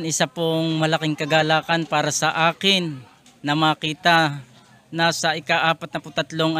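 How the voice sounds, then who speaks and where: A man from a daughter church speaking politely and in a measured way. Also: on the high side, bright, forceful tone, clean tone. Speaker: church member.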